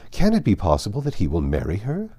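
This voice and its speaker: A man voicing an eager, anxious young gentlewoman in an audiobook, urgent and exclaiming. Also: lowish voice, wildly swooping pitch, fast, slightly rough, no room sound, full character voice. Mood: amazed. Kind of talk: audiobook character dialogue.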